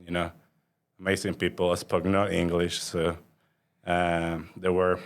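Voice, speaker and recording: Low-voiced Honduran man telling stories casually. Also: monotone, a little slow. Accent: Honduran Spanish. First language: Spanish. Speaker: podcast guest, restaurateur. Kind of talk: casual conversational storytelling.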